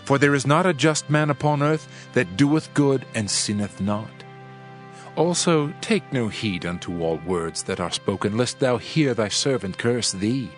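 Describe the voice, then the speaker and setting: A man playing a solemn, world-weary preacher in a dramatized scripture reading, with measured, archaic oratory. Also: medium pitch, expressive, lightly acted. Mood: solemn, reflective. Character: male preacher, solemn world-weary sage pondering wisdom and vanity. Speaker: narrator of a dramatized audio Bible. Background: music.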